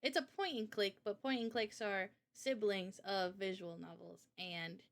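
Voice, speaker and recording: A woman's voice: medium pitch, a little slow, crowd noise. A woman in a casual interview chat about games, speaking informally and with opinions as the host. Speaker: host and game developer.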